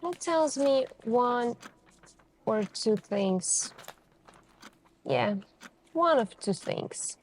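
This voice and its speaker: Slow-talking woman as a probing detective, noisy recording. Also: fairly high pitch, lively intonation. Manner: deliberate, pausing, pointed questioning. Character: calm, suspicious, probing female police detective. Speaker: ASMR roleplay performer. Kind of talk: ASMR interrogation roleplay, slow deliberate delivery.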